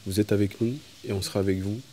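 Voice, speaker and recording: A man's voice: on the low side, flat delivery, quick pace, dark tone, slight background noise. A man making an earnest appeal in an interview. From Rwanda.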